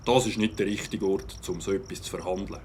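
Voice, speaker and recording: A man's voice: low voice, fairly even intonation, quick pace, darkish tone, natural voice. Man acting an officious village policeman, noisy backdrop. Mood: stern. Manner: formal, officious. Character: stern, by-the-book village policeman, fair but pedantic.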